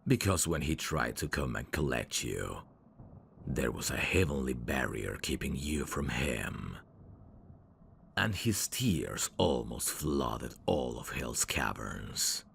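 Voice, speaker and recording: A man voicing a sly demon servant in an ASMR audio drama, courtly and theatrical with irreverent, sardonic asides and a very deep, gravelly voice. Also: big pitch swings, takes it slowly, fairly bright tone, slight background noise, full character voice. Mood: gentle, explaining.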